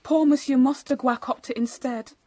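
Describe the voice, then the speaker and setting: A woman's voice: sits high, steady tone, moderate pace, darkish tone, no room sound. An actress playing an earnest, wronged young woman in a radio drama, speaking plainly and directly. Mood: earnest confession.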